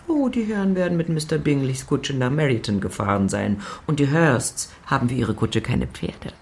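A woman playing a scheming, excitable middle-aged mother in a German radio play, impatient and exclamatory. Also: rumbling low, normal speed, slight background noise.

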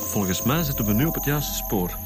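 An actor playing a cocky, sardonic police detective in a radio crime drama, with a Flemish accent. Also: male voice, medium pitch, animated, a little fast, lightly acted. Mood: smug. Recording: dramatic music behind the voice.

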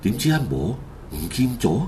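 A man's voice: fairly low pitch, very animated, normal speed, a little hiss or hum. A man with a Hong Kong Cantonese accent narrating a radio drama in a dramatic, colloquial storytelling style. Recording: loud background music under the voice.

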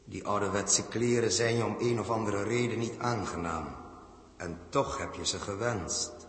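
An actor voicing an ominous, knowing inner voice, solemn and insinuating, with reverb, in a 1960s radio drama. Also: male voice, lowish voice, moderate pace. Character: ominous, knowing, disembodied male inner voice haunting the hero. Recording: long cavernous reverb, old radio recording.

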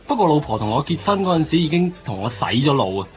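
A man's voice: a little high, very animated, quick pace. An actor playing a glib married buddy in his late twenties in a radio drama, lecturing chattily and emphatically about life in Hong Kong Cantonese. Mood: joking, casual. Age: 20s. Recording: dull lo-fi radio recording. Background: faint music.

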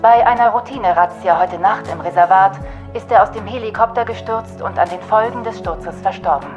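A police official in a radio play. She delivers formal, bureaucratic announcements at an average pitch through a dull filtered line, with music clearly behind. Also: a little fast.

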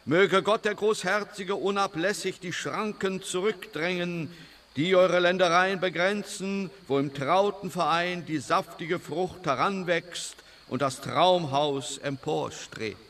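High-voiced solemn sheikh giving blessings. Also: male voice, slow pace, a bit bright. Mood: solemn, incantatory. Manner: ceremonial, florid, declamatory. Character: solemn sheikh chanting florid blessings over donations. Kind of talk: radio drama, incantatory blessing. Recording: slightly distant, noisy ambience behind.

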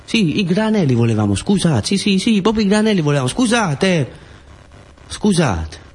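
A man's voice: sits high, very animated, normal speed, slightly rough, pitched above the performer's own voice, heavily acted. A man voicing a nervous boy in a stage monologue, apologising repeatedly and tripping over his words. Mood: apologetic, nervous. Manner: stammering, repetitive, apologetic.

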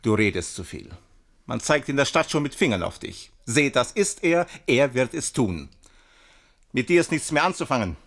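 A man's voice: medium pitch, big pitch swings, normal speed, a bit bright. A man playing a scheming, imperious duke with a sardonic manner in a German radio play. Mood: imperious, contemptuous. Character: scheming, haughty duke and general, cold conspirator.

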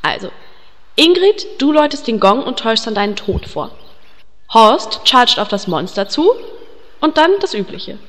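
A woman's voice: fairly high pitch, big pitch swings, normal speed, a bit bright, long reverb tail. A woman playing a bossy, easily exasperated elf priestess in a comic audio drama, with a snappy manner.

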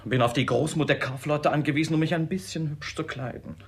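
Husky, lovestruck young gentleman. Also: fairly high pitch, steady tone, a little fast. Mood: frustrated, defiant. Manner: gushing, earnest. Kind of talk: classic comedy radio play acting.

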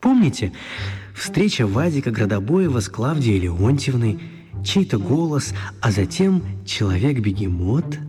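A man narrating a children's radio story in an expressive, mock-grand dramatic style. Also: mid-range voice, measured pace, music in the background. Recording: clear background noise and ambience.

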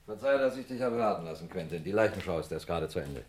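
Police inspector, deep bass voice, matter-of-fact, methodical, vintage radio crime play. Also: male voice, lively intonation, quick pace, very noisy. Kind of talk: 1960s German radio crime drama acting.

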